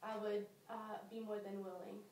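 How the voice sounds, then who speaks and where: A woman's voice: mid-range voice, flat delivery, normal speed, a little mellow, slight background noise. A woman from Costa Rica answering interview questions, hesitant and full of ums. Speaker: job applicant. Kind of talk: mock job interview. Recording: echoey room from far off.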